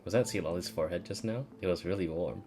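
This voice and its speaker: A woman's voice: rumbling low, fast talker, a little mellow, faint music behind. A woman game streamer chatting casually and reading out dialogue during a playthrough.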